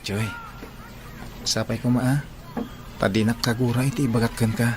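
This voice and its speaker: A man's voice: medium pitch, fairly even intonation, normal speed, lightly acted. An actor with an Ilocano Filipino accent playing an earnest, devoted young male suitor in a radio drama. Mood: tender, pleading. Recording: faint noise and outdoor ambience.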